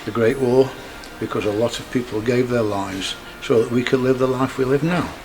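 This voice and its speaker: A man's voice: medium pitch, moderate pace, background noise. A man giving an interview statement as a veterans' association chairman.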